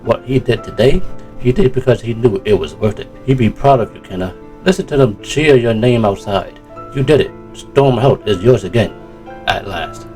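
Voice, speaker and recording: An actor playing a loyal, seasoned warrior advisor in a fantasy fandub, speaking gravely, steadily and earnestly. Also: male voice, medium pitch, animated, normal speed, darkish tone, faint music behind, natural voice. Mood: solemn pride. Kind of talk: fantasy audio drama fandub acting.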